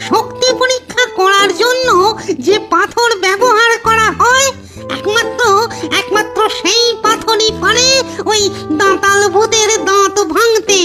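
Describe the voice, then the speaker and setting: A squeaky, teasing cartoon ghost, extremely high and childlike. It muses theatrically with hums and little questions, like a know-it-all, over loud music. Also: female voice, steady tone, a little slow. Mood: pondering, smug. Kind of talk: exaggerated Bengali cartoon acting.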